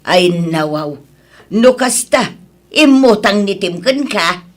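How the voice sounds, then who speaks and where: An actress playing a gossipy middle-aged Ilocano auntie in a radio drama, drawling and exclaiming. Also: female voice, mid-range voice, lively intonation, slow. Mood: curious.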